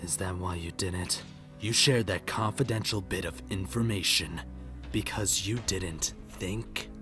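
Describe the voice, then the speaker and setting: A man's voice: low voice, a little slow, music in the background. An actor playing a bitter, unhinged widowed middle-aged father, sardonic and menacing, in a dramatic comic dub. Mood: brooding, menacing.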